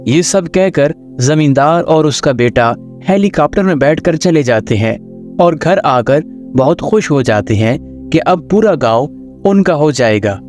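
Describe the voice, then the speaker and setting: A man with a fairly high voice narrates a scripted children's tale. He serves as the storyteller, with some music playing underneath. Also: lively intonation, measured pace, a little mellow.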